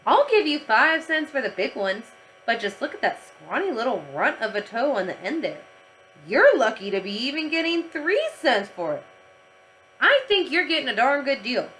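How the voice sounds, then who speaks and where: A teasing, haggling male schoolyard teacher from a children's storybook, acted out by a woman reader. He wheedles and bargains in a bright, forceful voice with lively swings of pitch. There is some noise in the recording. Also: normal speed, low-quality recording.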